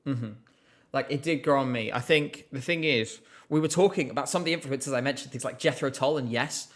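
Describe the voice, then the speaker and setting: A man's voice: medium pitch, a little fast. A man hosting a casual live stream, chatting in a rambling way with lots of fillers and a slight cough. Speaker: livestream host.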